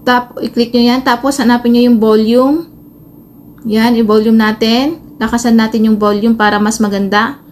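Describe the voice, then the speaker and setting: A woman's voice: a little high, fairly even intonation, moderate pace, a little mellow. A Filipino woman giving a casual step-by-step tutorial, explaining informally with some hesitation. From Philippines. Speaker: tutorial host, vlogger. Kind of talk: casual spoken phone tutorial. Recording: noisy amateur phone recording.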